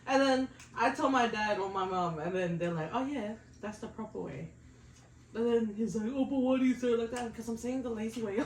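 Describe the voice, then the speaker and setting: A woman's voice: fairly high pitch, brisk, a little hiss or hum. A young woman from New Zealand with a New Zealand accent, chatting and teasing her partner in casual banter, laughing often. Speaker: co-host of couple food channel. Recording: echoey room, mic at a distance.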